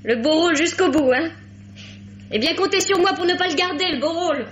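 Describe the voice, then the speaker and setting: Indignant, high-voiced wounded girl. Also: fairly quick, slight background noise. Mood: defiant. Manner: accusing, impassioned, formal address. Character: indignant, wounded teenage girl confronting her father's mistress. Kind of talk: 1950s French radio mystery acting. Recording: dull, lo-fi vintage radio sound.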